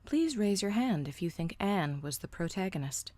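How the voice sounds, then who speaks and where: A woman in her thirties tells a personal story in a blunt, sardonic way. Her voice sits fairly low but swings widely in pitch, and the close recording is dry, with no room sound. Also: animated, moderate pace, warm tone, dry recording. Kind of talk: first-person storytime narration.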